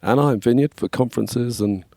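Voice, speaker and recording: English man, forties, scratchy voice, mellow dark tone, dry close sound. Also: medium pitch, brisk, vocal fry, no echo. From England. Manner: relaxed, storytelling, frequent "yeah, man". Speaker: guest, worship label manager. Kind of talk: casual conversation.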